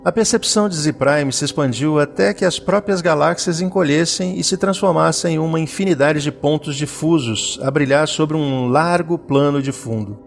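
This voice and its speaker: A man's voice: on the high side, normal speed, gravelly, few pauses. A man narrating a scripted audio drama in a solemn, literary reading style, with music behind him.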